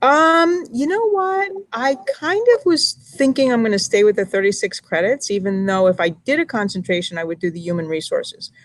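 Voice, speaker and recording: Woman from Brooklyn, teacher and MBA student; hesitant, reflective video-call chat on a laptop mic, mid pitch. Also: animated, moderate pace, few pauses, no room sound. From Brooklyn, New York. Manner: thoughtful, hesitant, many fillers. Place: library.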